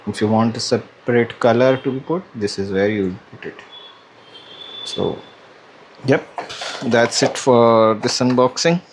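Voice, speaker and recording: A man's voice: mid-range voice, measured pace, long pauses, poor audio. A man giving a casual, hesitant unboxing commentary, thinking aloud as he goes. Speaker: vlogger unboxing a product. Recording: clear background noise, room ambience.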